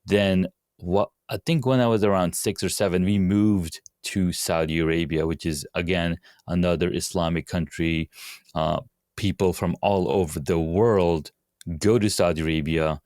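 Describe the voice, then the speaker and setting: Low, smooth-voiced man from Pakistan. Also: steady tone, moderate pace, a little mellow, no echo, clean studio sound. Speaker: podcast guest. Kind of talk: casual interview answers.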